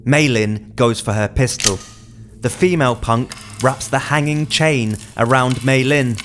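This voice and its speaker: A man reading scripted narration as the writer, in a measured, deliberate storytelling manner, describing action in the present tense. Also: medium pitch, faint music behind.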